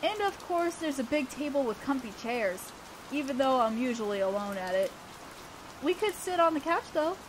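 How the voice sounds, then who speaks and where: A young woman playing an awkward, earnest teenage student council president in a romantic audio roleplay, speaking in a shy, halting way. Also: high voice, lively intonation, a little hiss or hum, low-quality recording. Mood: bashful, hopeful.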